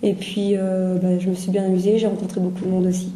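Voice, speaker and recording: Young woman with a very dark, warm voice. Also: mid-range voice, flat delivery, quick pace, echoey room, low-quality recording. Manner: polite, inquisitive questions. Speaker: student asking questions. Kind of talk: casual conversation practice.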